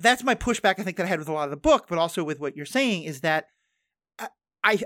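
Podcast host, mid pitch, dry close sound, talks fast in bursts, lots of restarts. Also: dry recording. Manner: animated, fast bursts, thinking aloud with restarts. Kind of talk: conversational interview.

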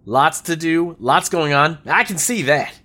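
An actor playing a chatty, theatrical old revolutionary with folksy charm in an audio drama. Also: male voice, a little high, measured pace, bright, forceful tone. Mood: warmly appreciative. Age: older. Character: jovial old male revolutionary, garrulous and scheming.

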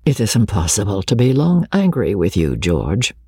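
A man's voice: on the low side, wildly swooping pitch, normal speed, a little mellow, slightly rough, no room sound, clean studio sound, heavily acted. A man voicing an earnest, loyal army captain who chides plainly, in a storybook reading. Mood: fond, pleading. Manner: earnest, plain-spoken, chiding. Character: earnest, loyal male army captain, protective older friend.

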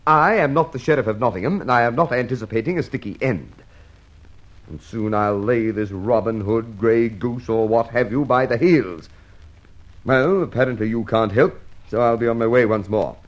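An actor playing a flustered, irascible police inspector in an old radio drama, stammering and repeating himself. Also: male voice, mid-range voice, normal speed, muffled recording, close to an everyday voice. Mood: indignant. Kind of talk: mid-century radio drama acting.

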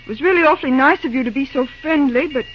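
Young female secretary, played by an actress, earnest and prim, high voice, hesitant old-time radio delivery, dull aged recording. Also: fast, soft background music, lightly acted. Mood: cool, formal.

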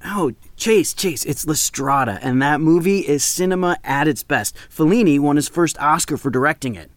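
An actor playing an earnest, gently teasing American film student in his early twenties, talking casually in an audio drama. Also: on the high side, normal speed, no room sound, close to an everyday voice. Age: early 20s.

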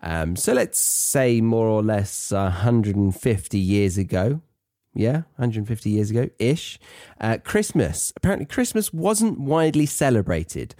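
A British man with a low, mellow voice explains things in a chatty way. His delivery is animated and playful, wandering into self-mocking asides, recorded close and dry. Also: dry recording. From Britain. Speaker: podcast host teaching English learners.

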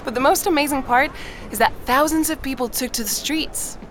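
An actress playing a chatty, amused friend who tells an anecdote in an animated, gossipy way in an audio drama. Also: female voice, high voice, brisk, natural voice. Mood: amused, excited. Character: chatty, amused woman friend, enthusiastic storyteller. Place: outdoors on a walk in town. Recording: clear street noise, outdoor ambience.

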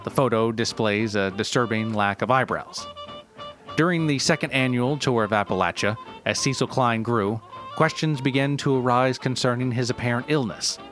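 A man narrates in the measured language of a formal documentary, keeping a straight, deadpan delivery. His voice sits at a medium pitch, with light music underneath. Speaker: narrator and writer of a story podcast. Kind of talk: scripted documentary-style narration.

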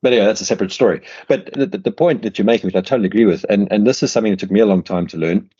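A man's voice: on the low side, very fast, dark tone, husky, highs cut off. A man with a South African accent hosting an interview, rambling in casual chat with many restarts and repeated words. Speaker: podcast host.

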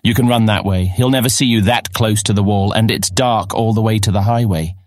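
A man's voice: lowish voice, steady tone, fairly quick, a little mellow, dry recording, close to an everyday voice. An actor playing a mischievous boy, a sly ringleader who coaxes and goads in a fantasy audiobook drama. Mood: eager, coaxing. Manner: persuasive, egging on. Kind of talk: full-cast fantasy audiobook acting.

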